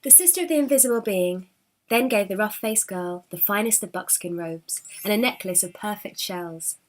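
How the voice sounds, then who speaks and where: Woman, children's storybook narrator, expressive, dramatic, deliberate emphasis, medium pitch. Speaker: storyteller reading a children's picture book.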